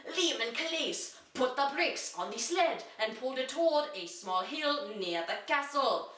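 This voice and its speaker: Female storyteller, slow and deliberate, bright tone, dramatic reading of children's fantasy, set back from the mic. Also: sits high, expressive, distant mic. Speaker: reader. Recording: echoey room, away from the mic.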